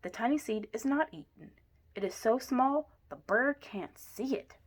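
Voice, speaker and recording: A woman reading a children's picture book aloud in an expressive way, with deliberate emphasis. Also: fairly high pitch, slightly rough. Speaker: story reader.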